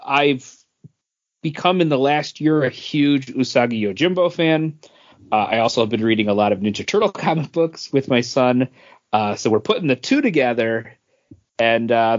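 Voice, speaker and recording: Slow, hesitant man on a podcast. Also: mid-range voice. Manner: hesitant, many filler words. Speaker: podcast co-host. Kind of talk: casual chat. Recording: dull lo-fi audio.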